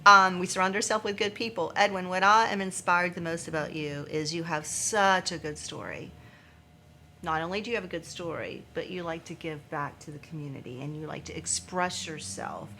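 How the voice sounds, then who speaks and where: A woman's voice: on the low side, normal speed, sharp tone, a little hiss or hum. A woman hosting a talk show, interviewing in an effusive, emphatic, gushing way.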